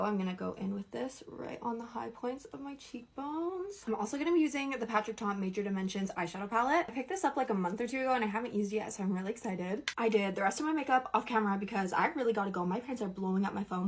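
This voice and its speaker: High-voiced Canadian woman with uptalk. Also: fast. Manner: uptalk, statements rise like questions; breezy, playful slang. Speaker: beauty content creator. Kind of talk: casual chatty makeup tutorial.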